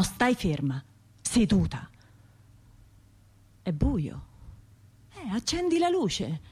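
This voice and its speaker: An actress playing an anxious, self-doubting trauma survivor in a radio drama, talking to herself in broken, halting phrases with a Tuscan accent. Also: female voice, on the low side, a little mellow, full character voice. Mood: restless, self-scolding. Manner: halting, fragmented, self-interrupting inner monologue.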